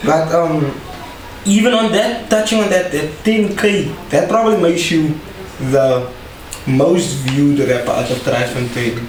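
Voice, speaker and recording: A man from South Africa hosting a podcast, asking relaxed, encouraging questions. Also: on the high side, unhurried. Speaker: podcast host. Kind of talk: casual podcast interview. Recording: distant mic, very echoey room.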